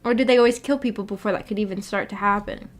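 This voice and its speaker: A woman with a medium-pitched voice chats casually as a livestream host. She thinks aloud in a rambling, hesitant way, feeling her way through each thought. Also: fairly even intonation, quick pace.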